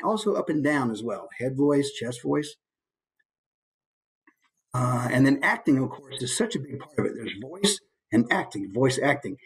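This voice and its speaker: A man's voice: mid-range voice, slightly rough, low-quality recording. Male voice coach, informal teaching delivery, a touch of huskiness in the tone. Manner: demonstrates points with brief put-on voices. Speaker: voice actor giving a coaching presentation. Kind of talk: informal instructional presentation.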